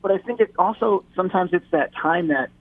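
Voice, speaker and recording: A man's voice: high voice, fairly quick. A man from the Detroit area, a guest chatting casually in an interview, rambling with many restarts and repeated words. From Metro Detroit. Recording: tinny phone line.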